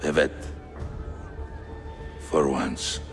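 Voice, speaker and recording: An actor playing a proud, grave Janissary captain in a video game, with a Turkish accent and a measured, solemn delivery. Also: male voice, very deep voice, little pitch movement, faint music behind, exaggerated voice. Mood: confident. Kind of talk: video game cutscene acting.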